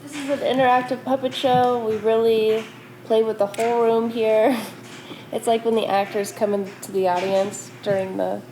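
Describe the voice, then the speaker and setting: A woman's voice: fairly high pitch, level tone, moderate pace, background noise. A woman hosting a family craft vlog, chatting casually in a playful, self-deprecating way. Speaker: host of a parenting craft vlog. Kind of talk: casual chat to camera and family. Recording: roomy echo, loud music behind. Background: music.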